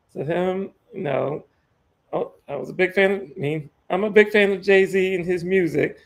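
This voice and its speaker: A man's voice: high voice, normal speed, gravelly. A man from New York co-hosting a livestream, laid back and laughing often. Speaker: livestream co-host. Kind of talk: casual chat.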